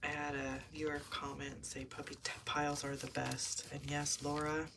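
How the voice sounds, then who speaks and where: A woman's voice: sits low, flat delivery, normal speed, vocal fry. A woman chatting to viewers while making a junk journal page, musing aloud and slipping into near-whispers, with a chesty cold. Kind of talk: casual crafting chat to viewers. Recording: noisy home recording with clicks.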